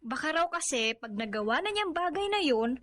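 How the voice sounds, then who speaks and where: A young Filipina in a radio drama, very high in pitch. She is a caring, loyal friend who speaks earnestly and with encouragement. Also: very animated, normal speed, fairly bright tone. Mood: concerned.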